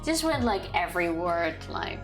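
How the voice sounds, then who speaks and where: A woman's voice: on the low side, sing-song, normal speed, over music. A woman chatting casually as she streams a video game playthrough. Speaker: streamer, voices game characters. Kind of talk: casual let's play chat.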